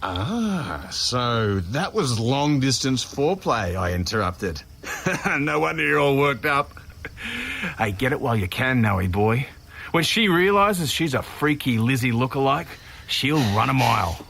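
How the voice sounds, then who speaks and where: An actor with an Australian accent playing a cocky older brother who needles and mocks in matey slang, in a naturalistic audio drama. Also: male voice, mid-range voice, big pitch swings, measured pace, gravelly, fairly bright tone, breathy edge, heavily acted. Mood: scornful. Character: cocky taunting older brother, a grown man.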